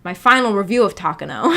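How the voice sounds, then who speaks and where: A woman hosting a livestream in a casual, rambling way, hesitant as she thinks aloud. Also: a little high. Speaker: livestream host.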